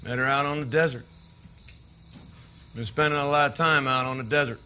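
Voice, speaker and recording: A man playing a menacing American drifter, sharp-voiced. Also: medium pitch, steady tone, normal speed, lightly acted. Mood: idle, brooding. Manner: gruff, needling, profane. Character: menacing, restless drifter, volatile resentful older brother. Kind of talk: naturalistic stage-play acting. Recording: noisy recording, chirping crickets behind.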